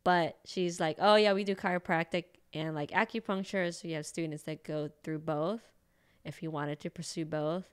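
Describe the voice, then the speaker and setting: A woman talks loosely on a podcast. She rambles along, full of fillers and small laughs, in a smooth, resonant voice pitched on the low side. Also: steady tone, normal speed. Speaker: acupuncturist podcast co-host. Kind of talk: casual chat.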